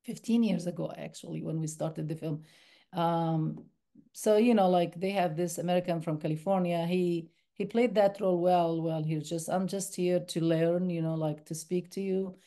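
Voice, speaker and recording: A woman's voice: on the low side, fairly even intonation, normal speed, mellow. A woman from the West Bank, a documentary filmmaker answering interview questions thoughtfully, often restarting her sentences. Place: university screening room.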